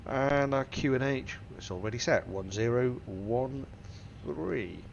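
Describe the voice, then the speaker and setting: A man's voice: medium pitch, measured pace, gravelly, lo-fi sound. A man with a stuffy nose from a cold, methodically talking through each cockpit setting in a flight simulator tutorial. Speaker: flight sim tutorial host. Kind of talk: step-by-step cockpit walkthrough. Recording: simulator engine and cabin noise behind. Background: aircraft simulator hum.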